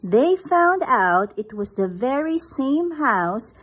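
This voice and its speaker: A woman's voice: sits high, big pitch swings, slow pace, fairly bright tone, slightly rough. A woman reading a picture book aloud at home, recorded on a phone camera above the book. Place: indoors, at home.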